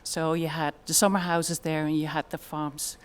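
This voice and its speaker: A woman's voice: deep voice, level tone, moderate pace. A woman with a Dutch accent giving a conference presentation in a thoughtful way, with frequent fillers. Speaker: conference speaker.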